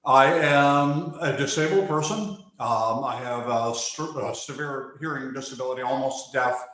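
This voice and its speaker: A man's voice: mid-range voice, a bit bright. American-accented man on a very echoey stream. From Germany. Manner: unhurried, pausing with fillers, answering chat between thoughts. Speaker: wildlife channel host. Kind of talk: live stream chat with viewers. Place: outdoors or open area while working on a bike. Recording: very echoey space.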